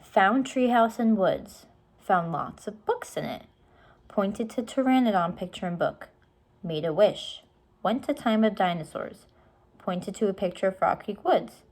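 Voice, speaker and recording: A woman reading a storybook aloud to young children, pausing to explain things to them. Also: medium pitch, expressive, a little mellow. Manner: explains words and asks questions for young listeners. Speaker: storybook reader.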